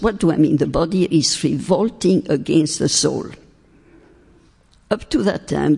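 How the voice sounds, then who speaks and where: A woman's voice: low-pitched, gravelly, warm tone, husky. Older woman lecturing in a hall. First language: French. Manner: deliberate, emphatic, stretches key words. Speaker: speaker on faith and philosophy. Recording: long hall reverb.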